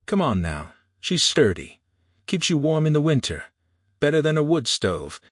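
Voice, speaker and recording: A man's voice: sing-song, moderate pace, dry recording, clean studio sound. A man voicing a blustering, pushy stepfather who hawks like a carnival barker in a western audiobook. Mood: wheedling. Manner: loud, pushy sales pitch.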